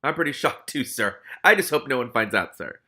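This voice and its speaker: A man voicing a wily, grumbling streetwise cat in a storybook reading, quick to hedge and bargain. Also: medium pitch, big pitch swings, sharp tone, voice pushed up, full character voice. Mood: uneasy, polite. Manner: quick-fire, hedging, sardonic. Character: wily talking male cat, streetwise, grumbling, anxiously deferential.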